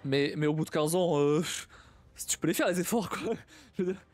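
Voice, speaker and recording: A man's voice: fairly high pitch, darkish tone, soft background music. A young man chatting casually on a live stream, stumbling and repeating himself as he thinks aloud. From Portuguese family. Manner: halting, many repeats and restarts, laughs often.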